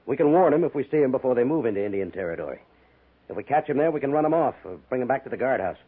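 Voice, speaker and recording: An actor playing an earnest, weary frontier army major, explaining in a measured way in a 1950s western radio drama. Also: male voice, mid-range voice, animated, husky. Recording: thin, tinny 1950s radio recording.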